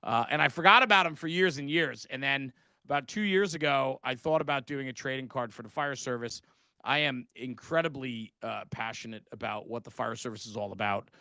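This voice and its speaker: A man's voice: mid-range voice, a little fast, sharp tone, no echo. A middle-aged man talking casually and passionately on a live stream, with an emphatic, buddy-like manner. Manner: earnest, passionate, emphatic, says "man" and "bro". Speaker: guest, fire service podcaster.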